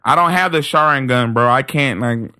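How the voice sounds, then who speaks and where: A man in casual podcast banter, animated, joking and playfully defensive. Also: medium pitch, normal speed. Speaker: podcast co-host.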